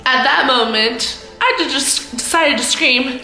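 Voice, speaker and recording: Woman, twenties, high-pitched, loud, giggly, self-mocking, casual vlog storytelling, echoey room. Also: unhurried, over a noisy crowd, heavy background noise. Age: 20s. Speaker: vlogger, host of own channel. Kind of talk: casual storytime chat to camera.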